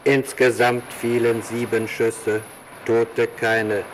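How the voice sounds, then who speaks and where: A male actor voices a soulless automaton from a 1950s radio comedy. It recites mechanically, very slowly and without inflection. Clear noise runs behind the voice. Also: mid-range voice, close to an everyday voice. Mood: emotionless, mechanical. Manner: flat, mechanical, reciting figures. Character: soulless crime-calculating automaton.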